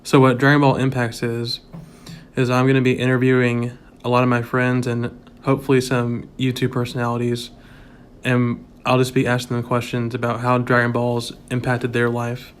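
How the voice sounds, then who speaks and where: Young male interviewer, flat even tone, light background hiss. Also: mid-range voice, normal speed. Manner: friendly, short reactions. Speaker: host. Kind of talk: casual interview.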